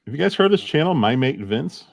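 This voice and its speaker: A man's voice: medium pitch, very animated, fast, creaky. A man co-hosting a podcast, chatting casually and telling everyday anecdotes. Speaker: podcast co-host.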